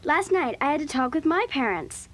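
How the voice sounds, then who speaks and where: High-voiced, frank preteen girl. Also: very animated, moderate pace, fairly bright tone. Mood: pleased. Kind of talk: children's audio drama acting.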